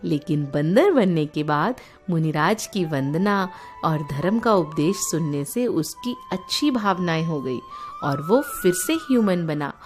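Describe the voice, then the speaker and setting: A woman's voice: lowish voice, expressive, a little slow. A woman with an Indian accent telling moral stories to children in an explanatory, teacherly way. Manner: explanatory, asks the listeners questions and glosses terms. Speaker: storyteller for children. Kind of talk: animated moral storytelling for children. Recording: music behind the voice. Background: music.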